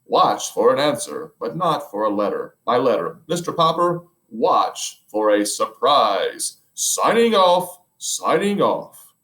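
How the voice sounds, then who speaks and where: Hearty, proclaiming admiral on the radio, voiced by a man reading aloud, lively pitch, echo. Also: takes it slowly. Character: booming expedition admiral on the radio. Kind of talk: reading aloud a children's book. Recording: echoey room.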